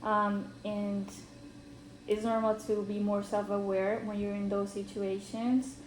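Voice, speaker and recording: A woman whose first language is Spanish, playing a counselor in a role-played therapy session, asking gentle questions with many pauses and ums. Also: mid-range voice, level tone, slight background noise. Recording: roomy echo.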